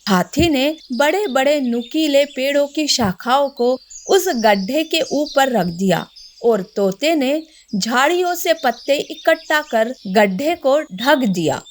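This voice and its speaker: Slow, high-voiced woman storyteller. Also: animated, slight background noise. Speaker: narrator. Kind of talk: scripted children's story narration.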